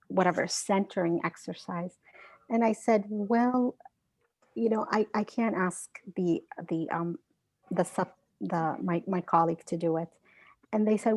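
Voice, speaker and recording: Woman, science educator, earnest storytelling, dry close sound, medium pitch. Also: a little mellow, no echo. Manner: earnest, storytelling, frequent restarts. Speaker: guest speaker, science educator. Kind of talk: online seminar Q&A, conversational.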